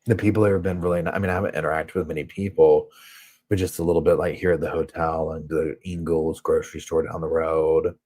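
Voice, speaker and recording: A man's voice: extremely low pitch, level tone, fast talker, mellow. A man with a Southern US accent hosting a casual true crime live chat from a hotel room, rambling and reading viewer comments aloud. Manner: rambling, chatty, heavy on filler words. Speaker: true crime channel host.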